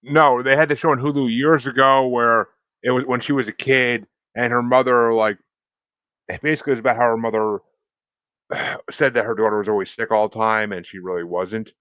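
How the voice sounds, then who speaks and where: A man co-hosting a podcast in casual chat, halting and searching for words, with some swearing. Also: medium pitch, fairly even intonation, smooth, no room sound, lo-fi sound. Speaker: podcast co-host.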